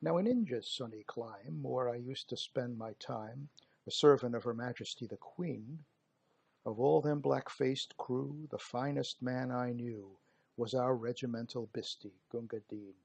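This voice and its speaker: A man reciting dramatic verse as a gruff working-class British soldier of colonial India, theatrical and emphatic, with slangy, clipped word endings. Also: mid-range voice, measured pace, a little mellow. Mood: reminiscing. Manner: theatrical, emphatic, dropped g's and slangy phrasing.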